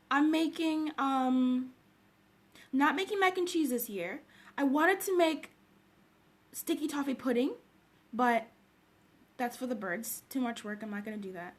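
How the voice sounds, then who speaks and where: A woman's voice: high-pitched, fairly bright tone. A woman from Zambia chatting casually on a livestream, greeting viewers by name and laughing often. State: just woke up. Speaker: livestream host. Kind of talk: casual live-stream chat with viewers.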